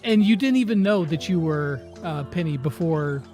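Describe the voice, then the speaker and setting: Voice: mid-range voice, expressive, moderate pace, a little mellow, faint music behind. An interviewer asking questions and musing in a casual, conversational style.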